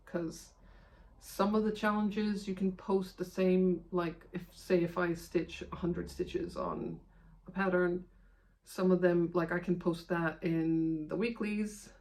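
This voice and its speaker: Creaky-voiced woman chatting casually. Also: on the low side, a little mellow. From Iceland. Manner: rambling, self-deprecating, chatty. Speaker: video host of a cross-stitch channel. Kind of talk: casual vlog chat to camera.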